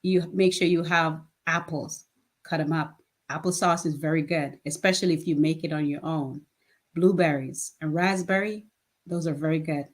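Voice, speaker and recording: A woman's voice: sits low, fairly even intonation, normal speed. A woman in her fifties answering interview questions conversationally, in a plain-spoken way with short emphatic statements. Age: 50s. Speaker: guest, children's charity founder.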